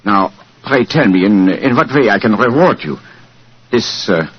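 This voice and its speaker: Low, husky, stately king. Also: expressive, unhurried. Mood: relieved, grateful. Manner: hesitant, formal, stately. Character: anxious, formal, grateful king, a regal man. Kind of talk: 1940s radio acting. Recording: dull vintage radio recording.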